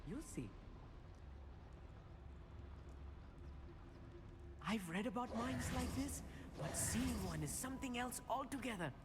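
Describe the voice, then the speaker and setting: Eager, bookish British schoolboy, lively high voice. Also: male voice, moderate pace, heavy background noise. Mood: awed, eager. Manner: earnest, well-spoken, musing aloud. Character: eager bookish teenage schoolboy wizard, nervous but curious. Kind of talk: video game voice acting.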